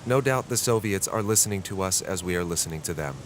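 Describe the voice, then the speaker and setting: A man playing a grave, reflective investigator, narrating a radio drama in a solemn, deliberate way. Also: low voice, expressive, noisy background. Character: grave, reflective male investigator narrating Cold War secrets.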